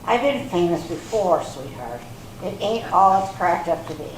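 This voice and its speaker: In an echoey hall, at a distance, a woman acts out a worldly older lady with a lowish voice. She is dry, sardonic and quick to challenge, in stage-reading style. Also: expressive, close to an everyday voice. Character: wry, skeptical older woman, once famous, unimpressed. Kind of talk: readers theater stage acting. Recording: echoey hall from far off, faint noise.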